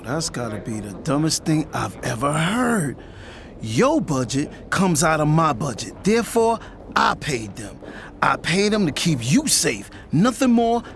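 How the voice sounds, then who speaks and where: A man's voice: on the high side, a little hiss or hum. An American man playing a hot-tempered, swaggering record label boss who narrates his own story in brash slang for an audio drama. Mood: annoyed, dismissive. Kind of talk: first-person audio drama narration with dialogue. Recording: loud music behind the voice.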